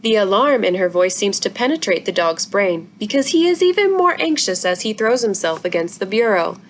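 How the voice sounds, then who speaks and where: A woman's voice: mid-range voice, big pitch swings, moderate pace, slight background noise. A woman reading a children's book aloud expressively, as a story-time narrator. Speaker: library story-time reader.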